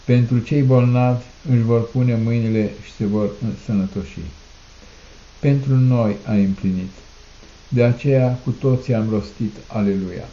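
A man reciting a solemn liturgical prayer in a declamatory manner, in measured phrases with repeated refrains. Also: mid-range voice, fairly even intonation, warm tone. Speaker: prayer reader. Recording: muffled, echoey room recording.

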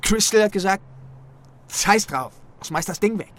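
A man's voice: high-pitched, expressive, unhurried, fairly bright tone, pitched above the performer's own voice. A man voicing a cocky, foul-mouthed young small-time thief in an audiobook, brash, sneering and slangy. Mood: boastful.

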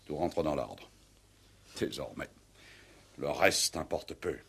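A man playing a grave, honour-bound military commandant in a 1960s French-language radio play, speaking in a formal, measured, cryptic way. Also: rumbling low, lively intonation, gravelly, slightly rough, heavily acted. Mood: solemn. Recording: vintage 1960s radio drama recording.

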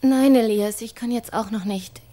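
A woman's voice: fairly high pitch, moderate pace, mellow, close to an everyday voice. An actress playing a busy, evasive mother who placates her son in a German radio drama. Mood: placating.

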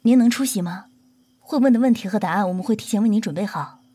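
A woman's voice: medium pitch, fast, dark tone, slightly rough, no room sound, close to an everyday voice. A woman playing a courteous, protective celebrity manager in an audio drama, with a formal, polite and composed manner. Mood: businesslike.

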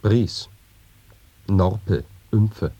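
Low-voiced man with clipped verse lines. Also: drawn-out words, dark tone, husky, no echo. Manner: terse, clipped single-word interjections. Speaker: reader in a radio play. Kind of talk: recitation of experimental sound poetry.

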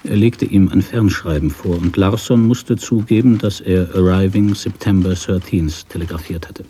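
Very low, mellow male narrator. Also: moderate pace, a little hiss or hum. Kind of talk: scripted literary narration for radio drama.

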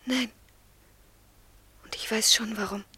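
An actress playing a devoted, submissive young woman in love, speaking in a fervent, breathy hush in a radio play. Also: on the high side, steady tone, takes it slowly, dry recording, full character voice. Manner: yielding, fervent, often whispering. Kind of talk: 1970s radio drama acting.

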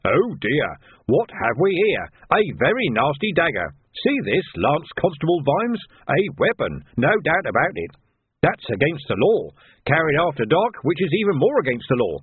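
A man voicing a stolid, mock-dim police sergeant in an audiobook, deadpan and mock-polite in plodding officialese. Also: on the high side, moderate pace, husky, pitched above the performer's own voice, full character voice. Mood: feigned innocence. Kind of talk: audiobook character acting. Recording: narrow band, phone-like sound.

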